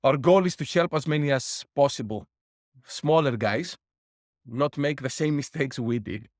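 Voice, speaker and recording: A man's voice: medium pitch, normal speed, no room sound. Guy walking through money lessons, dry close sound, wry little laughs. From Greece. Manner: explains with worked numbers and lessons, laughs wryly. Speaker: guest, board game publisher. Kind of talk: interview answers.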